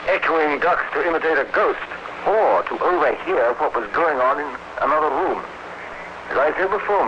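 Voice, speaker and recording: Sceptical radio-drama inspector played by a man, dry and deliberate, higher male register, noisy old recording. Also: lo-fi sound, natural voice. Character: shrewd, dry British police inspector, brisk and sceptical.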